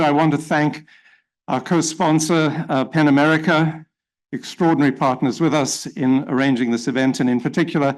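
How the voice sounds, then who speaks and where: A middle-aged South African man with a South African accent chairing a symposium, giving a formal welcome speech in a measured way with frequent fillers. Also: medium pitch.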